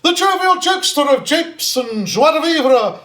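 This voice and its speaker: A man's voice: very high-pitched, lively intonation, a little slow, bright, forceful tone, heavily acted. An actor playing a jovial, pompous music hall chairman on stage, making florid, projected announcements that relish every alliteration. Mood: jovial, grandiose. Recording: roomy theatre, faint background noise.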